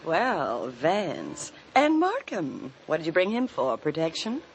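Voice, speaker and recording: Sly, coy, mocking suspect, lowish voice; vintage radio mystery with background hiss. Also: female voice, huge pitch swings, takes it slowly, exaggerated voice. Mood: sardonic. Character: sly, flirtatious, sardonic woman suspect in detective serial. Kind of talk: old-time radio detective drama acting. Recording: noisy old radio recording.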